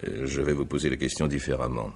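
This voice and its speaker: An actor playing a courteous, insistent examining magistrate in a radio mystery drama, putting questions in a formal, measured and hesitant way. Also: male voice, very deep voice, fairly even intonation, husky, heavily acted. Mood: probing, formal.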